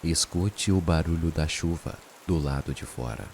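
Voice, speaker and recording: A man with a Brazilian accent narrates a guided meditation in a soothing, unhurried way, leaving long pauses. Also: rumbling low. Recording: steady rain and hiss behind voice. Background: rain sounds.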